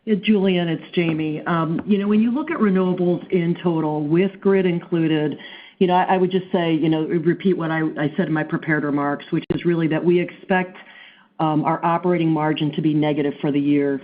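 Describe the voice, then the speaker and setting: A woman's voice: deep voice, fairly even intonation, brisk. A woman answering analyst questions as a finance executive on a business call. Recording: narrow, tinny phone line.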